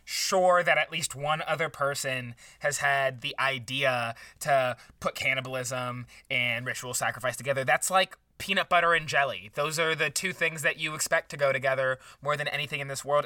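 A performer playing an indignant, jealous book podcaster in an improvised comedy, incredulous and sarcastic, repeating words haltingly. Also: mid-range voice, pushed and bright, dry recording, studio quality, distant mic, close to an everyday voice. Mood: scornful, stubborn. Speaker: podcast co-host.

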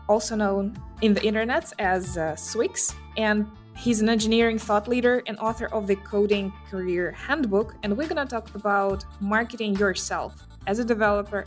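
A woman hosting a podcast interview, upbeat, with questions that trail off softly at the end. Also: mid-range voice, moderate pace, hardly any pauses, faint music behind. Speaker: podcast host.

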